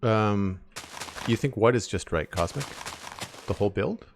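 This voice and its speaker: A young man with a deep voice chatting casually on a live stream, thinking aloud as he builds, into a desk mic at home. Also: lively intonation, a little slow, creaky, noisy background. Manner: rambling, thinking aloud, counts blocks under his breath. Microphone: desk microphone with headphones.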